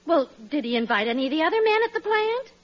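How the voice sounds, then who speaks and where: A woman's voice: high-pitched, big pitch swings, fast. An American woman playing a practical, skeptical housewife in a classic radio sitcom, speaking with hesitant doubt. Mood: doubtful. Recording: muffled, noisy vintage radio recording, audience din.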